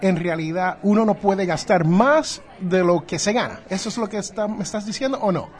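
A man's voice: high voice, lively intonation, moderate pace. A man from New Jersey hosting a casual interview, inquisitive and rephrasing what he hears to check it. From New Jersey, United States. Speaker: podcast host, interviewer.

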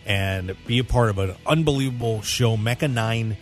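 A man's voice: mid-range voice, normal speed, faint music behind. A man hosting a sports talk radio show in a casual, conversational way, addressing his listeners directly.

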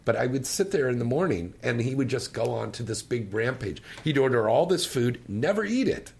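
A woman's voice: very deep voice, lively intonation, brisk, vocal fry, a little mellow, slightly rough. A woman hosting a casual radio call-in chat, playful and fond of telling stories. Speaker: radio host.

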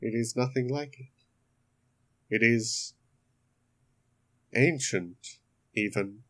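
A man voicing a formal, analytical technological being in a role-play podcast, with measured, stiff phrasing. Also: mid-range voice, a bit bright.

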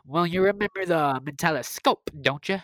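A woman playing a kindly, absorbed scientist in an old-time radio drama, speaking in a halting, soothing way. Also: on the low side, fairly bright tone, no echo, studio quality, lightly acted. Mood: reassuring, proud.

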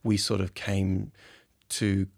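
Gravelly, halting actor in an interview. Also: male voice, low-pitched, level tone, darkish tone, no room sound, clean studio sound. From Turkey. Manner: halting, full of fillers and restarts. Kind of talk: interview conversation.

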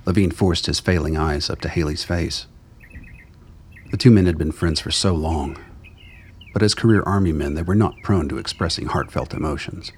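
A man's voice: low voice, breathy edge. A man reading scripted dramatic narration for a radio play.

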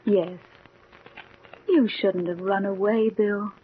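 An actress in a 1940s radio drama playing a calm, alluring young woman who is Death, soothing and gently insistent. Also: mid-range voice, takes it slowly, lightly acted. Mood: gentle, calm. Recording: dull, noisy 1940s radio recording.

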